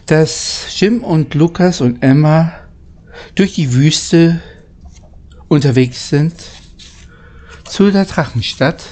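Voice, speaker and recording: A man reading a children's story aloud in an informal, halting way, stumbling over words and adding chatty asides. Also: a little high, mellow.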